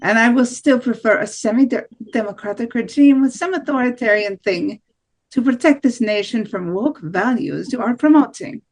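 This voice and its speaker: A far-right female presidential candidate, acted by a woman, angry and scheming. Her voice sits a little high. The lines are read haltingly, as in an amateur play reading. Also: close to an everyday voice. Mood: stubborn, dismissive.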